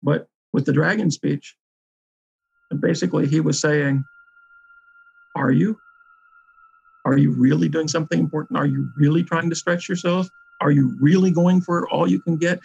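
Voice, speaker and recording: A man's voice: on the high side, steady tone, normal speed, creaky, long pauses, darkish tone, slightly rough. A middle-aged or older man speaking reflectively as an interviewee, on a dull interview recording. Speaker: interviewee, games journalist.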